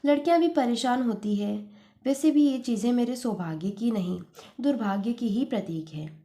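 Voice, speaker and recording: A woman voicing a quiet, weary mother with a dignified, resigned manner in a storybook reading. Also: a little high, animated, moderate pace. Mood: calm, resigned. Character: calm, weary, resigned mother of two daughters. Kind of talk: Hindi storybook narration.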